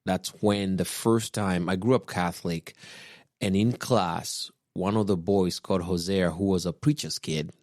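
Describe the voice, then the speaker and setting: Man, 40s, very deep, dry close mic, reflective podcast storyteller. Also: steady tone, dry recording. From Kenya. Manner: unhurried, reflective, with soft chuckles. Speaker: podcast guest husband, pastor.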